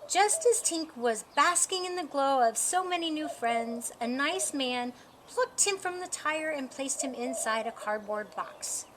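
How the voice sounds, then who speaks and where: A woman reading a children's picture book aloud as a storyteller, with an expressive, deliberate storytime delivery. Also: high voice, a little hiss or hum, rough recording. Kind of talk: scripted children's story narration.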